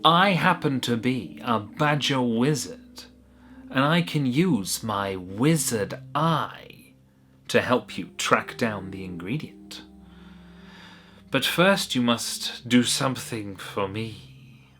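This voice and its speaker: Man playing a slow, sly badger wizard. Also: mid-range voice, animated, fairly bright tone, faint music behind. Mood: sly, scheming. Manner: slow, drawn-out, theatrical delivery. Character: strange, sly badger wizard, seedy soul-bargainer.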